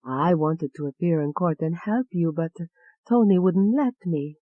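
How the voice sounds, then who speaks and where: A woman voicing Alette, a shy, gentle young woman with an Italian accent, speaking softly. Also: on the low side, animated, measured pace, no echo, phone-quality sound. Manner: soft and timid.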